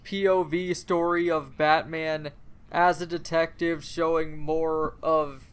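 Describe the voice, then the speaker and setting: A man co-hosting a casual podcast, giving his opinions in a measured, analytical way. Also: fairly high pitch, flat delivery, clean tone. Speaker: podcast co-host. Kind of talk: casual panel discussion.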